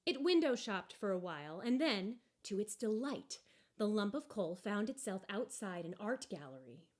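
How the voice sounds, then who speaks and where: A woman narrating a story aloud from a book in an expressive, deliberate and wry way. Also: medium pitch. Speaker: storybook reader.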